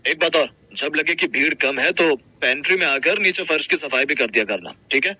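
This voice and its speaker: A man's voice: on the high side, lively intonation, moderate pace, pushed and bright, husky, heavily acted. A man playing a friendly young restaurant manager in a Hindi cartoon story, explaining things in a casual, businesslike way. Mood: brisk, friendly.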